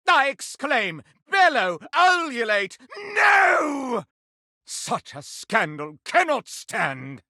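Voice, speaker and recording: A man's voice: high voice, sharp tone, no room sound, studio quality, heavily acted. A man voicing a flamboyant, haughty living candelabra in a video game, a scandal-loving gossip who declaims in florid, melodramatic style. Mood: scandalized, aghast, then scornful, lurid. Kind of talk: theatrical video game character acting.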